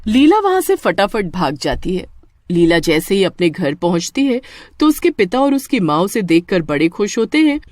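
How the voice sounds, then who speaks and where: A woman narrating a scripted story as an expressive storyteller. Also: medium pitch, normal speed, dry recording, clean studio sound.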